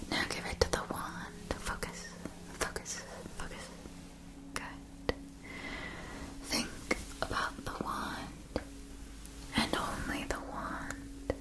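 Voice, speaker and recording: A woman performing a whispered ASMR roleplay as a gentle, attentive wand seller at a witch school, breathy, soothing and deliberate. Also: poor audio. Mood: gently coaxing. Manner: slow, soothing, deliberate, with hushed repetitions.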